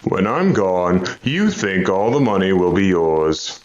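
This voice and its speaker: An actor playing a cruel, commanding vampire in a radio horror drama, with drawn-out, menacing, theatrical delivery. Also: male voice, low-pitched, sing-song, a little mellow, muffled recording, slight background noise, full character voice. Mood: gloating. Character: sinister gloating male vampire, cruel and commanding.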